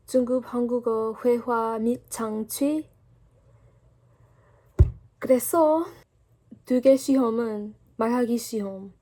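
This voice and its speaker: A young woman talking casually to her camera while ill, her voice weak and a little strained. Her voice sits high, yet its tone is dark and mellow. She chats loosely, laughs often and drifts between English and learner Korean. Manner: chatty, laughing, lots of "like" fillers. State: weak, sick voice. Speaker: vlogger and language student.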